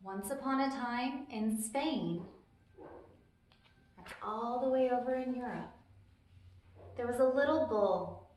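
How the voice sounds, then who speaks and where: A woman's voice: fairly high pitch, slow, long pauses, a little mellow, a little hiss or hum. A woman hosting a storytime, reading a children's book aloud expressively and explaining things simply for young listeners. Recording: very echoey, reverberant room.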